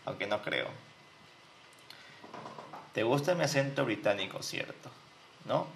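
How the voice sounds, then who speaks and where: A man's voice: mid-range voice, lively intonation, normal speed, vocal fry, a bit bright, a little hiss or hum. A man with a Peruvian Spanish accent commenting on a game in a mocking, joking way. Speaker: gaming host and dating instructor. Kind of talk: let's play commentary.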